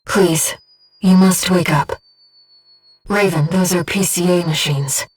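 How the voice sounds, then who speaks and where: An actress playing a gentle, devoted female voice in a pilot's head, a composed and precise mission guide in a video game. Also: fairly low pitch, slow pace, slightly rough, recorded from a distance. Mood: earnest, pleading. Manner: composed, precise, earnest delivery. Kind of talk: video game voice acting, tactical briefing.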